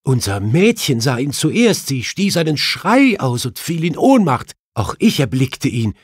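A man's voice: medium pitch, sing-song, unhurried, slightly rough, dry recording, clean studio sound, voice pushed up. A man voicing a distraught, weeping widow in an audiobook, shaken and tearful.

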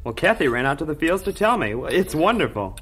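A man playing a patient, devout older farm brother in a vintage radio drama, gentle and sincere. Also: fairly high pitch, very animated, brisk, slightly rough, heavily acted.

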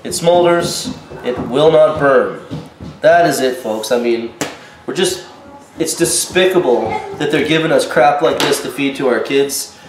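A man's voice: medium pitch, unhurried. A man in his thirties with a head cold, talking indignantly to the camera in his home kitchen as a vlogger. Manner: indignant, warning tone. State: stuffed up with a cold. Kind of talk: casual home vlog. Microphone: camera mic at a short distance. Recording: echoey kitchen, faint noise.

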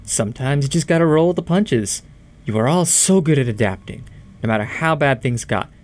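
A man's voice: medium pitch, animated, fairly quick, darkish tone, lightly acted. An actor playing a cocky young male troublemaker who needles his friend in a mocking drawl for a horror audio drama. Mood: cocky, casual.

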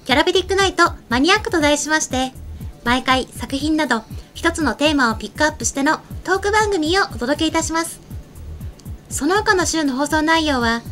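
A woman's voice: sits high, sharp tone. A young woman hosting an anime show in a polite, formal presenting style, moving between scripted announcements and studio chat. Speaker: host of an anime news show. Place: small indoor streaming studio. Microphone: desk microphone on an arm.

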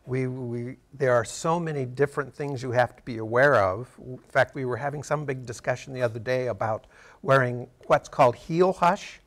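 A man's voice: medium pitch, normal speed. An American man in his sixties from Montana, explaining things casually as an interview guest. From Montana, United States. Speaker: interview guest, theater writer and director. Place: TV studio.

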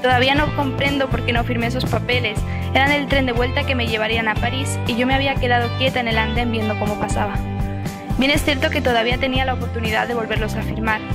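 An actress narrating as a twenty-year-old student, in a wry, calmly confessional voice-over. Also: female voice, a little high, close to an everyday voice. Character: dry, aloof young woman student abroad. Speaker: narrator of her own story. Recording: voice-over with music behind.

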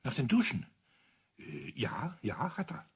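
A man's voice: medium pitch, wildly swooping pitch, unhurried, breathy edge, heavily acted. An actor playing a flustered, astonished man in a German radio crime drama, questioning hesitantly and stammering. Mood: puzzled. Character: flustered, astonished male listener to a detective's solution.

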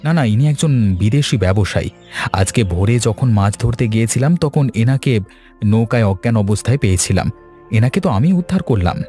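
A friendly young cartoon fisherman voiced by a man. He is reassuring and helpful, speaking at a middling pitch over music. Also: expressive, moderate pace, warm tone, close to an everyday voice. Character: helpful, kindly young village fisherman, male. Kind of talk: cartoon story dialogue. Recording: music bed behind.

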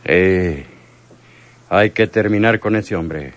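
A man's voice: deep voice, slow pace, no echo. A man playing a ruthless, wary crime boss in a radio drama, cold and commanding.

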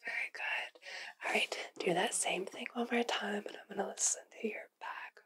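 A young woman whispering in an ASMR medical roleplay, giving hushed, soft instructions step by step as an examiner. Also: mid-range voice, very animated, moderate pace. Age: young adult. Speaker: ASMR performer role-playing a medical examiner. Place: small indoor studio with dark backdrop.

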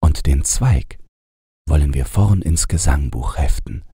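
An earnest, heartfelt husband from a fairy tale, read by a male storyteller, with a sorrowful weight to him. The voice is low and very husky, measured in its delivery. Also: lively intonation, warm tone, no room sound, studio quality, exaggerated voice. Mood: firm, heartfelt.

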